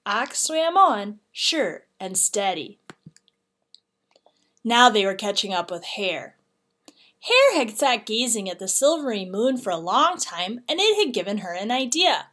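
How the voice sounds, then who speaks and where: A woman narrating a children's storybook expressively, with deliberate, dramatic phrasing. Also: mid-range voice, big pitch swings, a bit bright. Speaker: read-aloud teacher.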